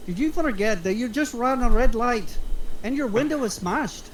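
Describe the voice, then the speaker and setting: A woman's voice: fairly high pitch, animated, normal speed, slight background noise, lightly acted, low-quality recording. A woman playing an anxious, nagging gangster sidekick at a screenplay table read. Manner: questioning, nagging.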